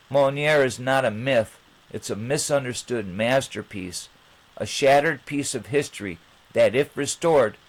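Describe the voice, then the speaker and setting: A man hosting an informal explanatory monologue, with halting, rambling phrasing. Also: mid-range voice, low-quality recording. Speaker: channel host.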